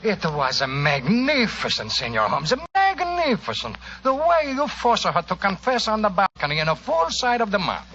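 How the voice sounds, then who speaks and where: An actor playing a dramatic, anxious Italian police inspector with an emphatic manner, in 1940s radio style. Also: male voice, high voice, huge pitch swings, moderate pace, hardly any pauses, sharp tone, heavily acted. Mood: admiring. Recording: dull, hissy 1940s radio recording.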